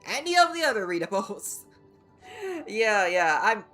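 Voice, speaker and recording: A woman giving casual live game commentary as a streamer, thinking aloud in a halting way. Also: medium pitch, big pitch swings, fairly bright tone, soft background music. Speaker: livestreamer playing a game.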